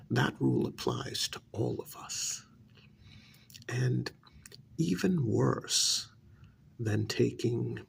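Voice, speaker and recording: A man playing a slow, gravelly aristocratic vampire. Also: medium pitch, exaggerated voice. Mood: condescending, indignant. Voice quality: gravelly, breathy, often dropping to a whisper. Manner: theatrical, condescending, deliberate, drawn-out delivery. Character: cruel, haughty high-society male vampire, menacingly amused. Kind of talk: ASMR role-play monologue.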